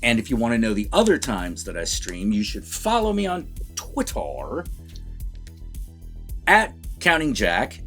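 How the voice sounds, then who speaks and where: A man's voice: medium pitch, a bit bright. A man co-hosting a movie review vlog, talking casually with wry, informal asides. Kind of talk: casual conversational chat.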